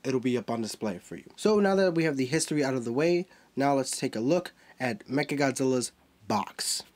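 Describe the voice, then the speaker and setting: Brief: man, mid-pitched, nasal and stuffy from a cold, chatty toy-review host walking through a figure. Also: lively intonation, fairly quick, darkish tone. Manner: chatty, explains while handling a figure.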